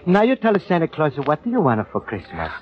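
A man's voice: on the high side, wildly swooping pitch, quick pace, slight background noise. An actor playing a kindly, earnest immigrant man as a store Santa, with an Italian accent and broken English, in old radio style. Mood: jolly, gentle. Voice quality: broken English with extra vowels. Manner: earnest, apologetic, eager to please. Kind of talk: old radio comedy-drama acting. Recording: dull, lo-fi old radio recording.

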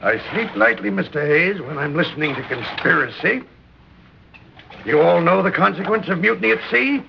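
An actor in an old radio drama playing a huge, pompous, tyrannical ship's captain who gives commands with menace. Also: male voice, sits high, animated, moderate pace, creaky, full character voice. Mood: menacing. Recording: noisy, muffled old radio recording.

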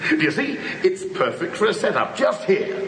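An actor playing a boorish, self-important, excitable film maker in a radio drama, prattling and brashly dismissive. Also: male voice, high voice, normal speed. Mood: enthusiastic. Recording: hissy old radio drama recording.

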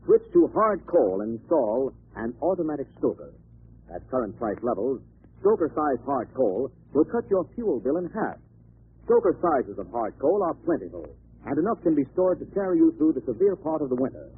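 A man reading a scripted 1940s radio commercial in a formal, measured announcer style. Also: medium pitch, big pitch swings, husky. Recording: tinny, scratchy old radio recording.

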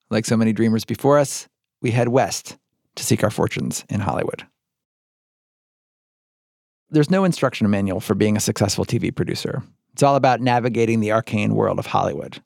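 A man's voice: on the low side, brisk, gravelly, a little mellow, no echo, studio quality. An American man hosting and narrating a podcast in a scripted but conversational storytelling style.

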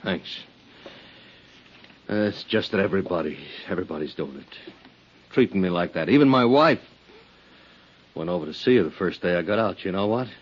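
A bitter small-time swindler fresh out of prison in a 1950s radio drama. His low voice is halting, wheedling and insistent, full of grievance. The recording is slightly muffled and old. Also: expressive, slightly rough. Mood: aggrieved. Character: bitter, wheedling male ex-convict swindler, middle-aged.

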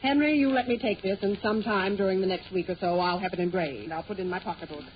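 A woman's voice: mid-range voice, fast, close to an everyday voice. A woman playing a bossy, suspicious middle-aged aunt in a 1940s radio sitcom, imperious and tart. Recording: muffled 1940 radio recording, hiss.

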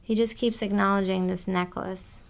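A woman giving a psychic reading, relaying messages in halting bursts with many restarts. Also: medium pitch, fairly even intonation, vocal fry. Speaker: psychic medium.

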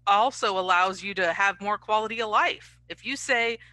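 Bright, dry-sounding woman host. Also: mid-range voice, normal speed, no echo. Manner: conversational, reflective, prompting the guest. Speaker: podcast host. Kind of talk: casual podcast interview.

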